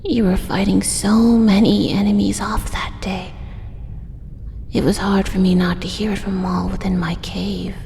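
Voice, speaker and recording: Casting: woman to play yandere spider monster lady for ASMR audio drama, soft whispers with gravelly edges, tender and menacing, long cavernous reverb. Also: little pitch movement, faint music behind. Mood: fond, sinister. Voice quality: soft-spoken, breathy whispering. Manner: slow, tender, menacingly intimate coaxing. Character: possessive, doting female drider spider monster, yandere. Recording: echoey cave-like reverb, faint rumbling noise.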